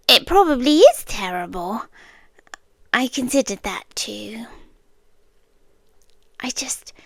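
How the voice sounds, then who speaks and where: A British woman playing a calm, obsessive stalker girlfriend in an intimate ASMR roleplay, hushed and deliberate, often slipping into whispers, tender yet softly menacing. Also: fairly high pitch, dry recording, heavily acted. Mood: wistful, menacing. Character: soft-spoken obsessive girlfriend stalker, calm, coolly intense, yandere.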